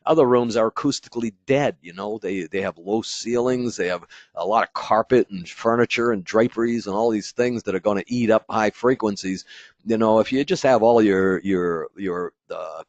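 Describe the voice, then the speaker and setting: A man's voice: lowish voice, normal speed, dry recording. A man giving a casual dealer training webinar, talking loosely with many fillers and restarts and sometimes acting out an installer's thoughts. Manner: acts out an installer's inner thoughts. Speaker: company product trainer. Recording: dry, slightly dull webinar audio.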